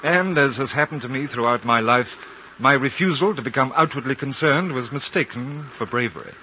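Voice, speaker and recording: A man plays a composed British consul in a 1950s radio drama. His voice is bright and understated, courteous and calm. The broadcast sounds muffled with light noise. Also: mid-range voice, normal speed, lightly acted. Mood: reflective.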